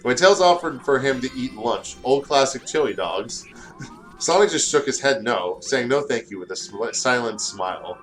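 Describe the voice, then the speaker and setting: Animated man reading fan fiction aloud. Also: mid-range voice, normal speed, faint music behind, rough recording. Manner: stumbles over words and rereads lines. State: tired, short of sleep. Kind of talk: casual story reading with joking asides.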